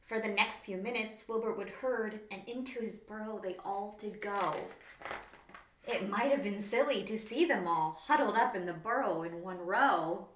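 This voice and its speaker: A woman's voice: medium pitch, normal speed, a bit bright, slight background noise. A woman in her thirties, a children's author, reading a storybook aloud expressively in a small room. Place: small plain room indoors. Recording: roomy, echoing indoor room.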